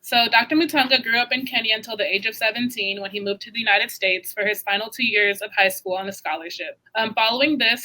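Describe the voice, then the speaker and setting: A woman with a very bright, sharp-edged voice reads a prepared introduction. Her delivery is steady and careful, as if working from a script over a video call. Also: mid-range voice, poor audio. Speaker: co-moderator introducing the speaker.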